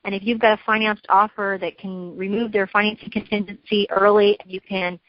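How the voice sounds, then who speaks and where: A woman's voice: medium pitch, level tone, a little fast, no room sound. Woman coaching on a dull-sounding conference call. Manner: explanatory, checks understanding, coaching with worked examples. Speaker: real estate trainer leading a training call. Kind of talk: instructional talk on a conference call. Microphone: conference call line.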